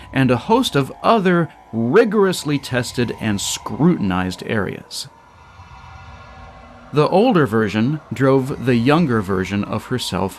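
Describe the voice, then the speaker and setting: A man narrating a scripted short story in a dramatic storytelling style. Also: medium pitch.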